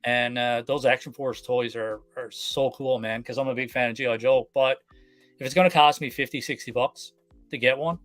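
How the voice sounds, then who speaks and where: A man from Canada chatting casually on a live stream, relaxed and full of fillers. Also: medium pitch, normal speed, no room sound, soft background music. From Canada, Newfoundland. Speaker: guest collector.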